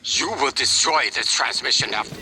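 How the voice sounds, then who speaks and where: A man's voice: medium pitch, normal speed, pushed and bright, breathy edge, faint music behind, full character voice, poor audio. A man playing a cold, commanding corporate chairman in a video game, speaking formally and with clipped authority. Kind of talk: dramatic video game acting.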